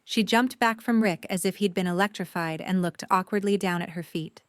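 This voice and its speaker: A woman's voice: fairly low pitch, normal speed, no room sound, studio quality. A woman reading scripted third-person story narration for an audio drama.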